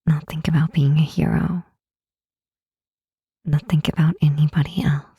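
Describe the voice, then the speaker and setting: Woman playing a hushed, husky, gentle demon queen. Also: low-pitched, level tone, no room sound, studio quality, full character voice. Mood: calm, encouraging. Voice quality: soft, breathy, husky. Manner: slow, tender, soothing, with deliberate pauses. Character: gentle, reassuring demon queen comforting a weary hero. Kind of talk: intimate ASMR roleplay. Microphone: close mic, dry.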